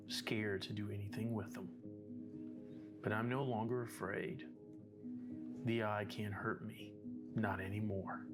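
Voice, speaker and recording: Casting: male actor as haunted investigator narrator, low flat delivery, grave and earnest, clear music underneath. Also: lightly acted. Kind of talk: scripted horror narration.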